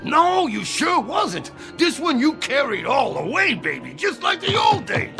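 A man's voice: extremely high pitch, wildly swooping pitch, a little slow, vocal fry, over music, heavily acted. An actor playing a brash, upbeat, loyal cornerman pal in a radio drama, with slangy, streetwise talk. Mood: incredulous, boastful. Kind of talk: modern radio drama acting.

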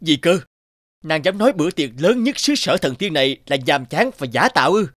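Actor as a scornful, swooping-voiced cartoon god. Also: male voice, fairly high pitch, animated, fairly quick, a bit bright, no room sound, studio quality. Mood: indignant. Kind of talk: animated fairy tale dubbing.

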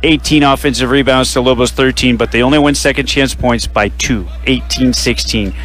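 A man hosting a radio postgame broadcast, running through final stats and sponsor reads in a measured way. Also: mid-range voice, steady tone, fairly bright tone, soft background music. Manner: stat-heavy, measured delivery with sponsor reads. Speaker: radio play-by-play announcer.

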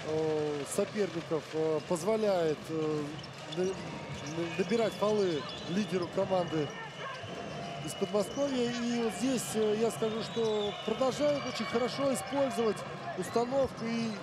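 A high-voiced man breaks down a basketball game in careful, hesitant sentences, pausing often with fillers. Loud arena noise rumbles behind his voice. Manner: analytical, halting with many fillers. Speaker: expert co-commentator. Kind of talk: live basketball commentary.

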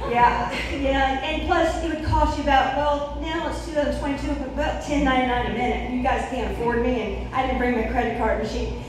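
High-voiced brash woman comic in echoey room. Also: steady tone, fairly quick. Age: middle-aged. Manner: brash, profane, opinionated. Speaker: stand-up comedian. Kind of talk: stand-up comedy routine. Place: small comedy club stage, indoors. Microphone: handheld stage mic, recorded from the audience. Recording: very echoey room, distant mic, faint noise.

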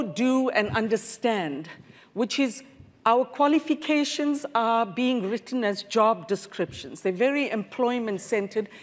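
A woman in her sixties, speaking with earnest conviction as a professor on a conference panel, with a lapel mic. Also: on the high side. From South Africa. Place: large conference hall stage. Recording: reverberant hall.

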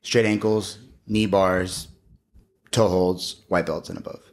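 Podcast guest: male, thirties, low register, casual and measured, gym-coach type. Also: flat delivery. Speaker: guest, gym owner and martial arts coach. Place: small indoor podcast studio. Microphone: podcast microphone on a boom arm.

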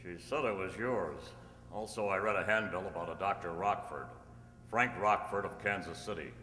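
A man playing a calm, upright masked cowboy hero with measured, formal delivery, in old-time radio style on stage. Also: deep voice. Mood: persuasive. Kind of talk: old-time radio drama acting read from script. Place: stage in a community hall. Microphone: stand microphone. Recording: echoey hall, humming background noise.

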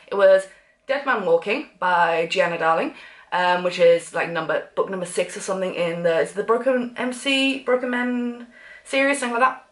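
Chatty woman vlogging fast. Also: medium pitch, roomy sound. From England. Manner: chatty, animated, gestures while talking. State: blocked up with a cough. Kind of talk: casual chat to camera. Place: sunroom with sofa, indoors.

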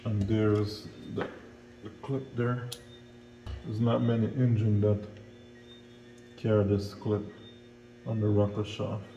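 A man's voice: lowish voice, flat delivery, slow pace, long pauses, mellow, lo-fi sound. A middle-aged man, a mechanic giving plain-spoken, step-by-step hands-on instruction. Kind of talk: hands-on tutorial narration. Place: small bare-walled indoor auto workshop. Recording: echoey hard-walled room, noticeable background noise.

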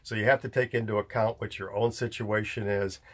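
Plainspoken older man, lowish voice. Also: fairly even intonation, a little fast. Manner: plainspoken, folksy, practical. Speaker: homestead channel host. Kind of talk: casual explainer vlog. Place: indoor room, later inside a metal pole barn. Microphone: lapel mic.

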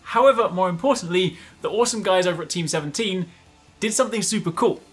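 A man in his thirties giving casual, chatty, excitable gameplay commentary, often repeating short phrases. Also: sits high, quick pace, soft background music. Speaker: gaming video host. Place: indoors at a desk, gaming setup.

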